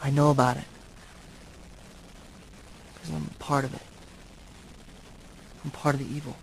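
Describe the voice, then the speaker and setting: An actor playing a brooding, tormented but noble centuries-old vampire in a video game, speaking in a grave, halting, earnest way. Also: male voice, medium pitch, level tone, creaky, slight background noise, rough recording. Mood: pained, confessional.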